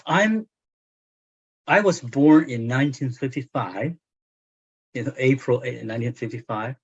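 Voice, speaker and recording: Slow, husky man in his sixties with a Chinese accent. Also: mid-range voice. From China. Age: 60s. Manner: hesitant, many fillers and restarts. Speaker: translator. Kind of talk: book club discussion. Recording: muffled lo-fi audio.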